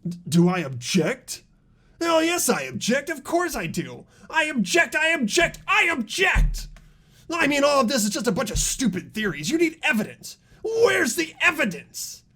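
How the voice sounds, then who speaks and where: A man voicing a hot-headed teenage boy in a mystery game, shouting and repeating himself in defensive panic. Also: very high voice, very animated, normal speed, exaggerated voice. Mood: panicked fury.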